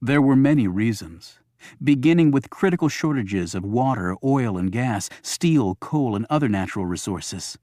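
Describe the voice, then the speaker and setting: A man's voice: medium pitch, lively intonation, normal speed, warm tone, no room sound, studio quality. A man reading a thriller audiobook as scripted narration.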